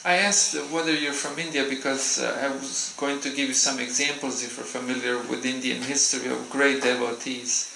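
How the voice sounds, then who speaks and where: A man giving a gentle, unhurried devotional talk in a temple, pausing often as he answers questions. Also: mid-range voice, level tone, gravelly, slight background noise. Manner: gentle, unhurried, with frequent hesitations. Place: temple hall. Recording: roomy hall echo.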